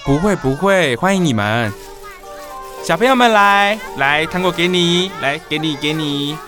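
A man's voice: on the high side, lively intonation, unhurried, over music. An actor with a Taiwanese Mandarin accent playing an exasperated young man who mutters and grumbles in colloquial speech in an audio drama. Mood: friendly. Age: young adult. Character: exasperated, puzzled young man living alone. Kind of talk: podcast audio drama, talking to himself.

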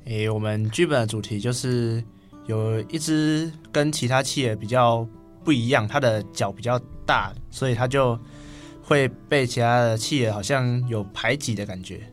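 A teenage male student with a Taiwanese Mandarin accent, answering interview questions casually and a bit haltingly. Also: medium pitch. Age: teens. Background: soft guitar music.

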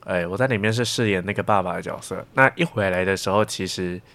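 A young man, a student guest telling anecdotes casually in a halting, self-correcting way, sometimes acting out examples. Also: deep voice, a bit bright. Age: young adult.

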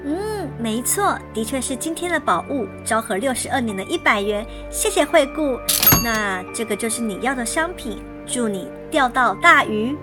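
Woman putting on a high, genteel shopkeeper lady. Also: animated, normal speed, close to an everyday voice. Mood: delighted. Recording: music behind the voice.

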